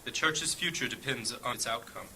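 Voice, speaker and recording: An actor playing a solemn high-ranking church leader in a fantasy audio drama, speaking in a grave, formal, commanding way. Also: male voice, normal speed, natural voice. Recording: clear background ambience noise.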